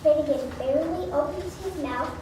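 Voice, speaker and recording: A woman narrating a scripted story aloud, halting and stumbling over the reading. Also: high voice, dark tone. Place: large echoey room, recorded from afar. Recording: very echoey room, distant mic, background noise.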